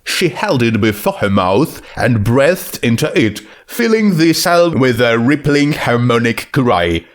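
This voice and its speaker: A man narrates a story from a script. He takes his time over each phrase, and his pitch moves in a lively, expressive way. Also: measured pace. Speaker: narrator reading fan fiction.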